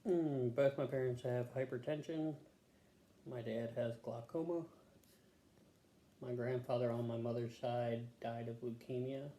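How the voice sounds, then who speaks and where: A man answering health questions as a patient, casual and matter-of-fact, pausing to think in short answers. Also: medium pitch, steady tone, dark tone. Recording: echoey room with faint background noise.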